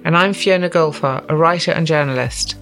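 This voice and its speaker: A woman's voice: low-pitched, brisk, fairly bright tone, soft background music. A middle-aged woman co-hosting a chat in a clinic, chatty and self-deprecating, rambling a little as she talks. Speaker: co-host, journalist. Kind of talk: casual chat. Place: clinic room.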